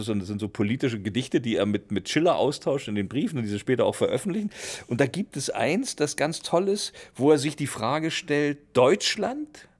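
Man, middle-pitched, casual emphatic explainer, frequent checking questions, theatrical quoting. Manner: emphatic, tags remarks with checking questions, quotes verse theatrically. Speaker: interviewee explaining literature.

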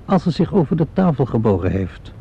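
An actor playing a calm, probing police inspector in a Dutch radio play, questioning politely and methodically. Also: male voice, mid-range voice, fairly quick, gravelly, slight background noise. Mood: measured, persistent. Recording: dull, lo-fi old radio recording.

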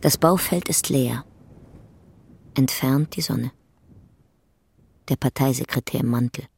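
A narrator with a creaky voice reads stage directions for a radio drama in clipped, scripted phrases. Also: medium pitch, slow, a little mellow.

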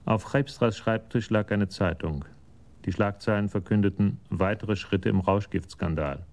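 A man's voice: lowish voice, measured pace, darkish tone. A man narrating a crime drama from a script.

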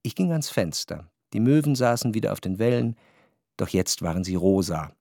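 An actor playing an introspective, wryly analytical narrator in a historical radio play, speaking in a measured, articulate, reflective way. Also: male voice, fairly low pitch, animated, vocal fry, dark tone, no room sound, studio quality. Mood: pensive.

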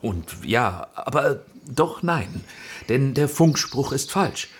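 An actor playing a courtly, flattering film director in a radio drama, speaking theatrically with dramatic pauses. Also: male voice, mid-range voice, a little hiss or hum. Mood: intense, visionary. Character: Austrian male film director, courtly, flattering, persuasive visionary.

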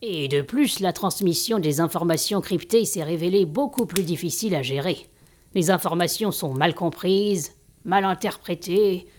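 A woman playing a kindly old master and healer in an audio drama, attentive and gently authoritative. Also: on the low side, moderate pace, natural voice. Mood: grave, concerned.

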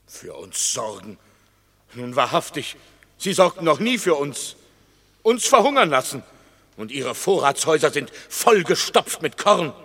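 An actor playing a bitter Roman commoner leading hungry rebels in a radio drama, blunt, challenging and sardonic. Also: male voice, fairly high pitch, bright, forceful tone, breathy edge, full character voice. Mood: bitter, indignant. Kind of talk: classical German stage-style radio drama acting. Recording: long reverberant hall.

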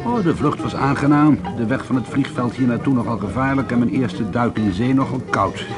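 An actor playing a friendly, forthright art teacher on holiday in a naturalistic radio drama. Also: male voice, mid-range voice, fairly quick, over music, close to an everyday voice. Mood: wry, amused.

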